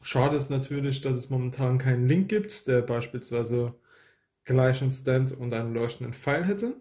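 A man's voice: mid-range voice, normal speed, mellow. A man hosting an unboxing, giving casual product review commentary.

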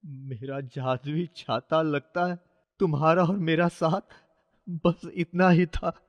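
A man acts out a poor young boy in a cartoon fable, earnest and pleading. The voice sits a little high for a man, muffled and in long reverb. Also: fairly even intonation, takes it slowly. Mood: sorrowful.

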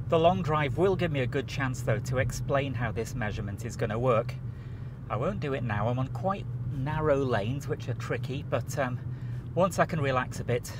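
A man in his forties presenting an explanatory vlog in a conversational, methodical way. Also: medium pitch. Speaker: video presenter. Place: outdoors and inside a moving car. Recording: clear ambient outdoor and car noise.

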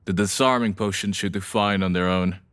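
A man's voice: deep voice, fairly even intonation, brisk, fairly bright tone. A man playing a brash, offhand young half-vampire alchemist in an audio drama. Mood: breezy. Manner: casual, offhand, sweary.

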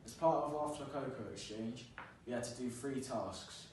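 A man's voice: medium pitch, moderate pace, a little mellow, slight background noise. Young man in an echoey classroom. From London. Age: young adult. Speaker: student presenter. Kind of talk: class presentation. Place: classroom with a large screen. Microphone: far camera. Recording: echoey room, camera far off.

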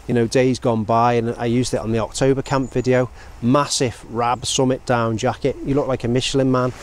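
A British man in his forties giving a casual, measured product review to camera in a conversational way. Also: mid-range voice, flat delivery. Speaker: outdoor gear reviewer. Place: outdoors in open countryside. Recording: outdoor ambient noise.

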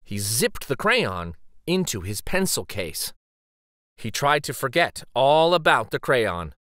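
A man reading a children's story aloud dramatically, with theatrical, suspenseful pauses. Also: medium pitch, big pitch swings, fairly bright tone, dry recording, clean studio sound.

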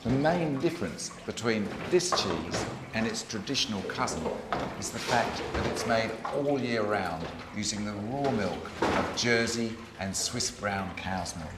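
A middle-aged man with an Australian accent, narrating and presenting a food documentary in a measured, unhurried way. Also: lowish voice, expressive, very noisy. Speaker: host.

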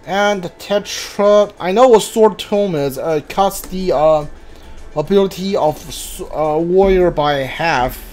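Slow, halting gamer muttering over game music. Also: mid-range voice, a little mellow. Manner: hesitant, halting, muttering to self. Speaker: gamer streaming a playthrough. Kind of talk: casual gameplay commentary. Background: video game music.

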